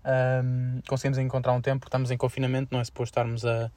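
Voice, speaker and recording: A young man with a European Portuguese accent co-hosts a casual student podcast. He talks quickly at an average pitch, in a slangy and halting way. The recording is dry, with little room sound. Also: monotone, darkish tone, no room sound. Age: young adult. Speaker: podcast co-host.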